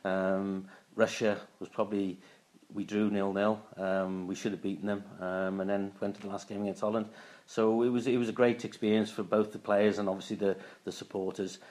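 A man in his late fifties from Wales, a former footballer, talking through his career in a casual interview, often pausing and restarting his sentences. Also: on the low side, little pitch movement, a little mellow. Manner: halting, many restarts and fillers.

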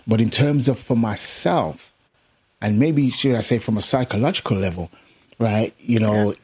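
A middle-aged English musician with a British accent touched by Jamaican Caribbean tones, telling stories casually in an interview and laughing often. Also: male voice, fairly low pitch, normal speed, breathy edge. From England. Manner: laughs often, animated anecdotes. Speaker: musician guest.

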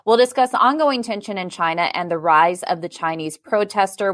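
A woman's voice: lowish voice, a bit bright, no echo. Polished woman podcast host, dry sound. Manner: polished, scripted introduction. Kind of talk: podcast interview hosting.

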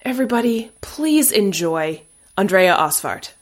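An upbeat woman hosts a casual interview podcast from her home studio, gushing freely about her guest. Her voice sits at an ordinary middle pitch, and she talks in a relaxed, natural way. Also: unhurried, over loud music. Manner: bubbly, effusive. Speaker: podcast host.

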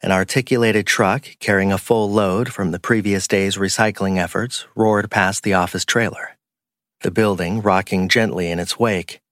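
A man's voice: low-pitched, steady tone, normal speed, a bit bright, no echo, clean studio sound. A man reading scripted crime fiction as an audiobook narrator.

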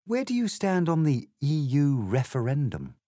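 A man's voice: a little high, huge pitch swings, normal speed, warm tone, no room sound, clean studio sound, pitched above the performer's own voice. A man voicing a prim, polite and puzzled young girl in a storybook satire. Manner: polite and proper.

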